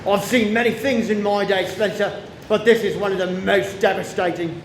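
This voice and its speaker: A man's voice: sits high, steady tone, normal speed. A man playing a grave, seasoned police superintendent in a radio play. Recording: echoey room with faint noise.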